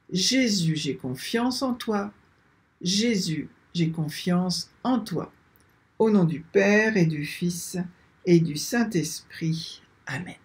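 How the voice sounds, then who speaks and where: An older man leading a slow prayer, reciting repeated invocations in a steady, measured chant, filmed by a webcam in a small room. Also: sits high. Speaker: prayer leader.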